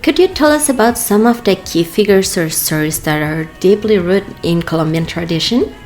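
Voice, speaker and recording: A woman hosting a podcast, reading her introductions and questions in a measured, scripted way. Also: lowish voice, animated, a little mellow, faint music behind. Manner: measured, read-aloud introductions. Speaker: podcast host.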